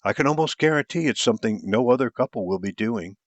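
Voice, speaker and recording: A man's voice: mid-range voice, animated, fast, no room sound, dull audio, natural voice. A man voicing an upbeat, teasing woman in an audiobook story, playful, insistent and coaxing. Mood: eager. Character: upbeat, teasing woman planning a karaoke duet.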